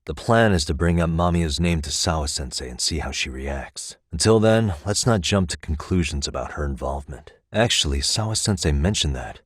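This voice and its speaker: Earnest video game detective: low, husky voice, measured and matter-of-fact as he reasons things out. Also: expressive, no room sound, studio quality, exaggerated voice. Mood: resolved. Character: earnest, analytical male detective reasoning through a case. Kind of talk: English video game voice acting, conversational deduction.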